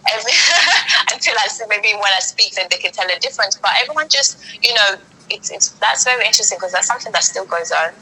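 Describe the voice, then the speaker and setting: A woman's voice: medium pitch, fast talker, pushed and bright, soft background music, low-quality recording. A woman from Kenya with a British accent, hosting a casual podcast chat, talking in chatty bursts, often restarting her sentences. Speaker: podcast host. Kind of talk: casual conversation.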